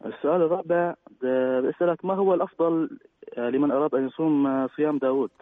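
A man comes through a thin, phone-like line with a dry sound. He talks quickly and politely, stumbling over his words and filling the gaps with "uh". His pitch sits in the middle range. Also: dry recording. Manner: polite, hesitant, many fillers. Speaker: caller. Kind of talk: phone-in question. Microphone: telephone.

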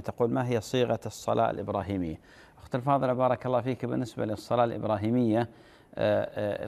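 A man answers listeners' religious questions at a brisk pace. His voice is gravelly with plenty of fry. He speaks in a measured, reassuring way. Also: fairly low pitch, warm tone. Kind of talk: call-in religious advice show.

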